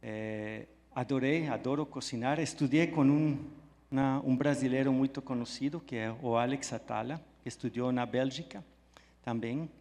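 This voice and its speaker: A man's voice: mid-range voice, moderate pace, darkish tone. A Belgian man, a native French speaker, giving a friendly, enthusiastic conference talk in Portuguese mixed with Spanish. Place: large hall at a live event. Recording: echoey hall sound.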